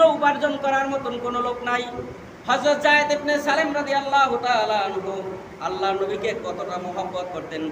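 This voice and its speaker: A male Islamic preacher with a Bangladeshi Bengali accent speaks in an extremely high, piercing register. His delivery is declamatory and emotional, with phrases repeated for emphasis. The sound carries long reverb over some background noise. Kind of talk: impassioned religious sermon with storytelling.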